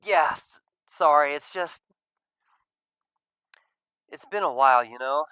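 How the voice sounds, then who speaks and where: A man voicing an awkward, guilt-ridden young male furry in a visual novel, speaking in a hesitant, halting way. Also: fairly high pitch, bright, forceful tone, clear, resonant tone, no room sound. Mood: flustered.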